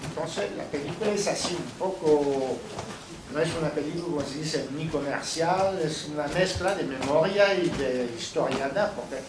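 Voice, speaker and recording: A middle-aged man with a French accent, a film director speaking haltingly after a screening, often restarting his phrases. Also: a little high. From France. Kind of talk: post-screening Q&A. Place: cinema hall. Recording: echoey hall from far off with noise.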